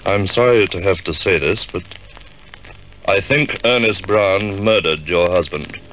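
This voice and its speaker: A man acting a shrewd, authoritative middle-aged police superintendent in a 1950s radio drama, measured and decisive. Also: deep voice, a bit bright, muffled recording, a little hiss or hum. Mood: grave, firm.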